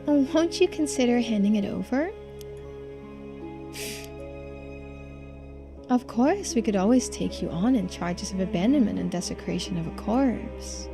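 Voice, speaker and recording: A woman voicing a genteel, composed and secretive housewife in a video game, speaking politely, formally and with quiet persuasion. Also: mid-range voice, lively intonation, moderate pace, creaky, few pauses, over music. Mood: politely pressing. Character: genteel, composed, secretive housewife who knows occult lore. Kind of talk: video game dialogue read aloud.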